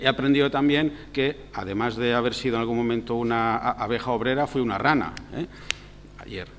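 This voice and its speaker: A middle-aged man from Andalusia with an Andalusian accent, giving a formal but relaxed lecture, hesitating with many fillers and throat-clearing. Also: medium pitch, a little hiss or hum. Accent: Andalusian Spanish. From Andalusia, Spain. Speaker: lecturer at an academic seminar. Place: lecture hall. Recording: long hall reverb.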